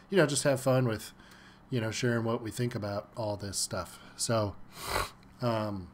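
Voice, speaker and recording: Stuffy-nosed man chatting on a stream. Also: medium pitch. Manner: relaxed, chatty, many fillers, greets viewers by name. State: stuffy from a cold. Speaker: live stream host. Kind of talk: casual live stream chat. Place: at home.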